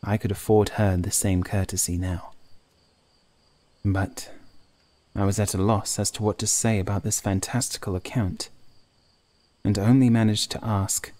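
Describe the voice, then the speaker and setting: Low-voiced man narrating horror. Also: steady tone, unhurried, pauses often, dark tone. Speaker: audiobook narrator and author. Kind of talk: scripted first-person horror story narration.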